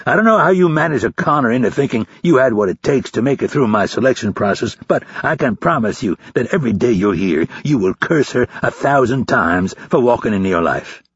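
A man's voice: medium pitch, lively intonation, a little fast, gravelly, few pauses, a bit bright, slightly rough, dry recording, highs cut off, pitched above the performer's own voice, full character voice. A man playing a sour, foul-mouthed instructor in an audiobook, snapping and barking his taunts. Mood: angry, barking. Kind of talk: audiobook character voice.

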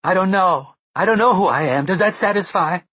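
Man voicing shrill defiant spirit-mom, old-timey talk, pitched way up. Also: expressive, a little fast, fairly bright tone, husky, no echo. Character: proud, desperate expectant mother spirit, woman.

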